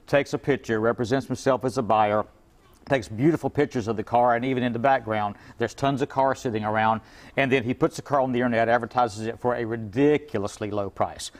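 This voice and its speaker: A man who owns an import car shop, giving folksy, plain-spoken advice as a guest in a TV interview, with frequent restarts. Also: medium pitch.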